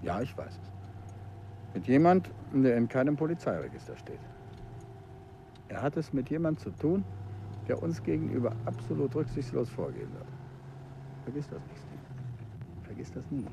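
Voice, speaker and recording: An actor playing a composed, sharp-witted male detective in a 1950s radio play, with a dry, understated, teasing manner. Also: mid-range voice, very animated, moderate pace, vocal fry, pauses often, noisy background. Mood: knowing. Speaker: detective husband.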